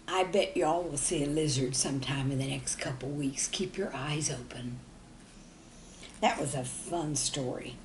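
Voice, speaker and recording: A woman telling stories to young children in an expressive, folksy way, speaking to them directly. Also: sits low, unhurried, a little hiss or hum. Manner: folksy, animated, addresses young listeners directly. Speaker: children's storyteller.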